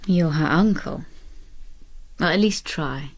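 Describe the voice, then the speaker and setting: An actress playing a composed, shrewd Roman lady with a husky, creaky voice, gently teasing and intimate in a radio drama. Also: low-pitched, takes it slowly. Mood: quietly concerned.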